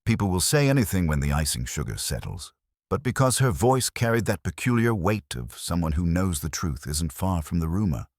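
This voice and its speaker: A man's voice: deep voice, very animated, a little fast, no echo, studio quality. A man narrating a scripted first-person detective story as host.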